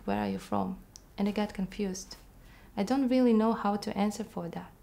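A young woman with a Russian accent speaks in an earnest, reflective way, now and then pausing to find her words. Her voice is mellow and dark with a gravelly edge of fry. She sits in a quiet indoor studio. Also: mid-range voice. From Kazakhstan. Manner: earnest, reflective, slightly halting. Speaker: immigrant counselor. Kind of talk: personal testimony to camera.

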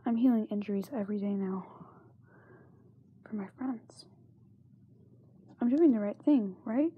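A young woman playing a frightened, self-doubting young singer in a halting diary monologue, often dropping to a whisper. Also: mid-range voice, husky, phone-quality sound. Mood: weary, downcast. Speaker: amateur voice actor. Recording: noisy makeshift amateur microphone recording.

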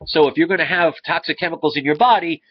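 A man's voice: fairly high pitch, quick pace. A man in his thirties with a stuffy, congested nose, talking earnestly to a webcam in his living room. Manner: earnest, rambling with restarts. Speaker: vlogger. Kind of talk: casual talk to camera. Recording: slightly dull lo-fi webcam audio.

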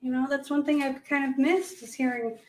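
Playful female craft host, Minnesota accent, higher register, casual and self-deprecating, light background noise. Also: steady tone, fairly quick. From United States, Minnesota. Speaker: host, painter. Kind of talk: casual live art demonstration chat. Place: indoor art studio at a paint-covered worktable.

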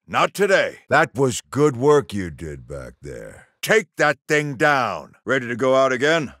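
Stern American army general, lively pitch. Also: male voice, no echo, clean studio sound. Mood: impatient, urgent. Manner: clipped, authoritative military delivery. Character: stern, commanding middle-aged male army general. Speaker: military commander. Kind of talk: video game mission briefing dialogue.

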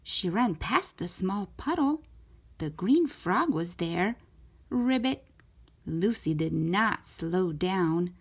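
A woman narrating a children's story aloud in an expressive, careful storytelling manner, as a storytime host. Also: fairly low pitch, slow, husky. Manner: animated, careful storytelling delivery.

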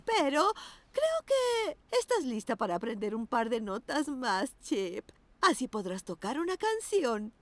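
High, swooping cockatoo piano teacher. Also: female voice, very animated, measured pace, dry recording. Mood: instructive. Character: genteel, encouraging female cockatoo piano teacher. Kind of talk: children's cartoon voice acting. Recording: loud music behind the voice.

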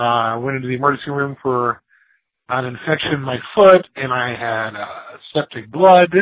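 A man's voice: mid-range voice, dry recording. An American man calling in as a guest, giving a personal account in plain conversational talk over the telephone. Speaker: phone-in guest, comic shop owner. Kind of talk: recounting personal news. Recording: narrow tinny phone line.

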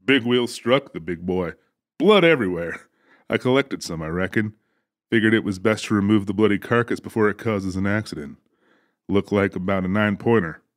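An actor playing an easygoing, sassy trucker in an audiobook, folksy and teasing. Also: male voice, fairly low pitch, normal speed, no room sound, studio quality, natural voice. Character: easygoing, sassy male trucker with a joking temper. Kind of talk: dramatized audiobook dialogue.

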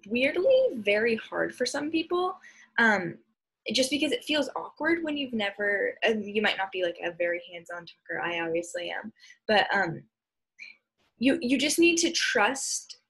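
Young American woman with a husky voice. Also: mid-range voice, a bit bright, poor audio. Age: young adult. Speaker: speech and debate instructor. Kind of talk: informal slide presentation. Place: small room at home, indoors. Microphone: computer mic on a video call.

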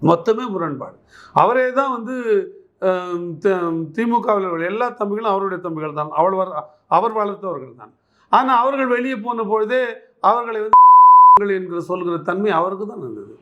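A man speaks in a notably high-pitched voice. He comments on politics as an interviewee, pressing his case with emphatic repetition and pointed rhetorical questions. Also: huge pitch swings, moderate pace, pushed and bright, dull audio, slight background noise. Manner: argumentative, emphatic, repeats phrases and poses rhetorical questions.

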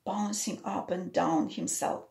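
A woman's voice: lowish voice, fairly even intonation, unhurried, vocal fry, mellow. A middle-aged woman reading a picture book aloud to young children, explaining it simply and putting questions to the listeners. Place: small plain room indoors.

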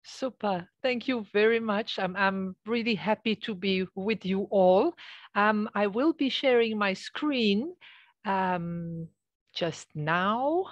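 A woman from Belgium gives an academic talk online as a guest professor. Her delivery is halting and conversational, at a middling pitch, picked up dry and close with very little room sound. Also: no room sound, highs cut off. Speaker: associate professor, guest speaker. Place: small office with bookshelves, indoors. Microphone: headset on a video call.

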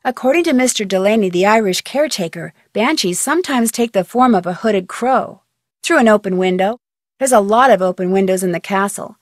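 A young woman with an American accent playing a chatty, curious, level-headed amateur detective in a video game, speaking conversationally with clear diction. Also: mid-range voice, animated, brisk, no room sound, clean studio sound, natural voice. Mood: matter-of-fact, curious. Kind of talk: video game voice acting, conversational phone-call dialogue.

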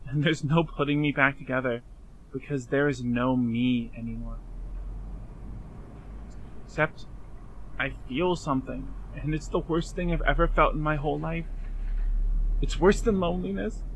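A man playing a frightened, despairing young man in an emotional fan-dub drama, confiding haltingly and pleading. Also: on the high side, a little hiss or hum, lightly acted, poor audio. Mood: bleak, despairing.

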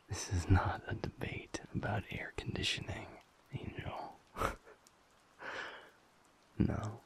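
A man playing a teasing, affectionate werewolf boyfriend in an intimate audio roleplay, with a breathy, gravelly murmur and a playful mock-stern manner. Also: on the low side, fairly bright tone, full character voice. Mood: mock-scolding, amused.

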